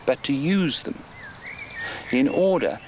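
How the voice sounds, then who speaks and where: A man speaking as a croquet expert on a radio show, explaining in a measured, matter-of-fact way. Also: medium pitch, animated, darkish tone. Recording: dull, lo-fi archive radio with faint hiss.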